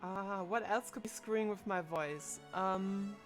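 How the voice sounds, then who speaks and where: A young woman in her twenties talks casually on a live stream at a mid-range pitch. She thinks aloud in a chatty, puzzled way, with faint music playing behind her. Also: a little slow. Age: 20s. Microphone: desk microphone.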